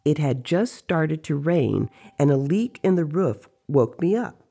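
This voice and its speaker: Reader acting male sorcerer, teasing storyteller, mellow, creaky, unhurried, audio drama. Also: mid-range voice, expressive, exaggerated voice. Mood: storytelling. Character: Don Genaro, teasing male sorcerer telling dream tales.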